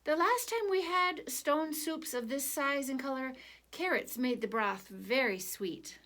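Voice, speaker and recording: A woman voicing wandering monks in a children's storybook, with a kindly, musing, gently suggestive manner. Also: high-pitched, a little slow, voice pushed up. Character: wandering Buddhist monks, gently coaxing and appreciative. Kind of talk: children's storybook character reading.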